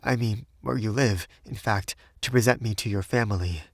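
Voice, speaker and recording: A man's voice: lowish voice, moderate pace, dry recording, clean studio sound. A man voicing a polite, naive young American abroad in an audiobook, courteous and earnest. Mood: apologetic, gentle. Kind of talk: audiobook character voice.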